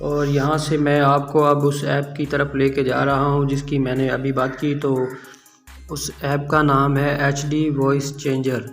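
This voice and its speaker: Animated man presenting, music behind him. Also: mid-range voice, unhurried. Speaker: tech tutorial host. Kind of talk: casual app tutorial presentation.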